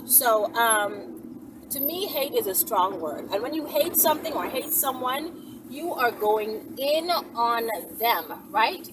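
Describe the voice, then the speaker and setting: A woman's voice: on the high side, lively intonation, takes it slowly. A woman in her forties telling a story in a chatty, playful, rambling way, like a casual vlog. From the Bahamas. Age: 40s. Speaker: vlogger telling stories to viewers. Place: inside a moving vehicle. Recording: distant mic, vehicle noise.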